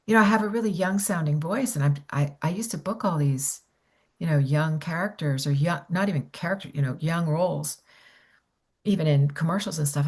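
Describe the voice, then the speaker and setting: A middle-aged woman, a voiceover coach, giving rambling casual advice on a video call, full of restarts and "you know". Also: low voice.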